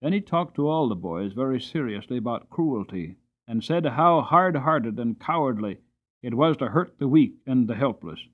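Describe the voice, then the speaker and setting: A man's voice: medium pitch, expressive, moderate pace, narrow, tinny audio, close to an everyday voice. A man voicing a righteous, spirited young stable lad, earnest and emphatic, in a storybook reading. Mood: indignant recollection.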